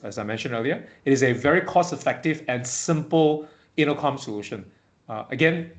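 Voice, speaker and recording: A man presenting a product webinar in an informal, halting way, often checking in with small tag words. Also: mid-range voice. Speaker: webinar presenter for a tech company.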